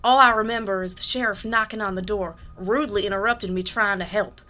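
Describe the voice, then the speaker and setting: A woman's voice: mid-range voice, fast, sharp tone, close to an everyday voice. A woman playing a curt, jaded household maid in a radio drama, clipped and sardonic. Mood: guarded, jaded.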